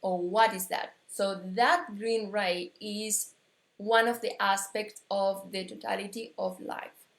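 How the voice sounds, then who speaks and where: A woman from Argentina with an Argentine Spanish accent, teaching a live metaphysics lesson in English, pausing and restarting as she searches for words. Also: mid-range voice, steady tone, gravelly, roomy sound. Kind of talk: live-streamed spiritual lesson.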